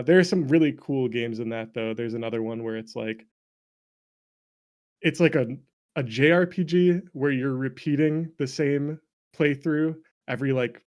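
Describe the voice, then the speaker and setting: A man with a mid-range voice talks casually as an interview guest. He is jokey and self-deprecating, often breaking into laughter, and sounds like a game developer chatting with a friend. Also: medium pitch. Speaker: indie game developer and streamer. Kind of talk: casual chat.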